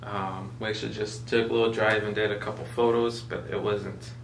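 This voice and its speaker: A man in his twenties from Mexico, co-hosting a casual vlog chat, relaxed and full of fillers. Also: on the low side, fairly quick, gravelly, reverberant, recorded from a distance, slight background noise. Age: 20s. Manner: relaxed, filler-heavy, joking. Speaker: vlogger co-host.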